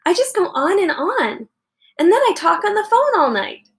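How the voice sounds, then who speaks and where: A woman's voice: very high-pitched, sing-song, normal speed, pitched above the performer's own voice, full character voice. A woman voicing a chatty, earnest fifth-grade girl in a children's storybook. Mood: amazed realization.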